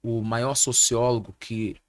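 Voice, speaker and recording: Man, thirties, Brazilian Portuguese accent, pastor as interview guest, mid-pitched, didactic, emphatic repetition. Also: steady tone, unhurried. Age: 30s. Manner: didactic, repeats key points for emphasis. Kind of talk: podcast interview with sermon-like teaching.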